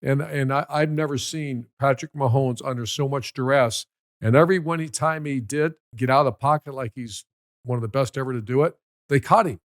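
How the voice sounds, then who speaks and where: A man's voice: mid-range voice, a little fast, no echo, clean studio sound. A man, a football coach co-hosting a sports podcast, breaking down plays emphatically and repeating key numbers. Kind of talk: casual sports analysis.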